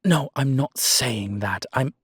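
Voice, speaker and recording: Guy doing a centuries old vampire for audio rp, tired and guilty, slow breathy husky voice, real formal. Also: mid-range voice, fairly bright tone, no echo, studio quality. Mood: defensive, frustrated. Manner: slow, grave, deliberate phrasing with long pauses. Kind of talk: dramatic audio roleplay acting.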